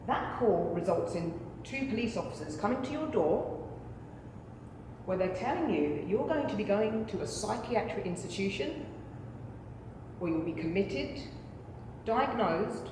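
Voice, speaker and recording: Woman playing deliberate trial lawyer, very echoey hall. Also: medium pitch. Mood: solemn, persuasive. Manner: deliberate, rhetorical, persuasive. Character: impassioned female trial lawyer addressing a jury. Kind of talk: courtroom dramatization speech. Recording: echoey hall with faint noise.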